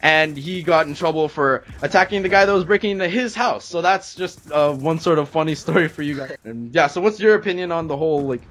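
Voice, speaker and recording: A man's voice: fairly high pitch, a little fast, few pauses, a bit bright. A young man from Canada hosting a gaming series with casual storytelling commentary. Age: young adult.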